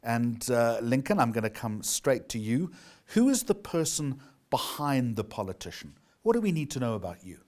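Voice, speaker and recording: Man, South African accent, debate host, animated intonation, brisk and welcoming. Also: mid-range voice. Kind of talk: moderating a televised debate.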